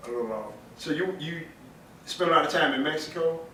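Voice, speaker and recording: A man's voice: fairly high pitch, lively intonation, brisk, pushed and bright, a little hiss or hum. A man hosting a casual podcast, loose and joking, with hesitant fillers. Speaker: podcast host. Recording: echoey room, distant mic.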